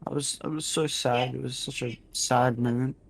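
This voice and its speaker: A man telling improvised stories casually, rambling and halting, often repeating his phrases. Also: mid-range voice, fairly even intonation, gravelly. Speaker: storyteller in a gaming video. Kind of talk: casual improvised storytelling while gaming.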